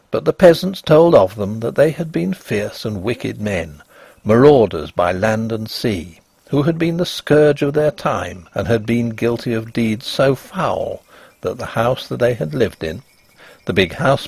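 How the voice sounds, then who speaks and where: A man narrating a ghost story in an expressive, measured and dramatic scripted reading. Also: mid-range voice, vocal fry, breathy edge, dry recording.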